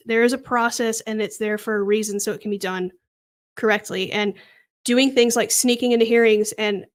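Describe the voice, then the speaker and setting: A woman co-hosting conversational true-crime commentary, explaining her views in an earnest, firm way. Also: fairly high pitch. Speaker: podcast co-host.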